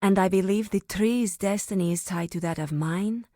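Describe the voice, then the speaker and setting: A woman playing a reverent, solemn druid of an ancient tribe in a fantasy video game, speaking formally with deliberate pauses. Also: mid-range voice, mellow, dry recording, studio quality, close to an everyday voice. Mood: solemn, contemplative.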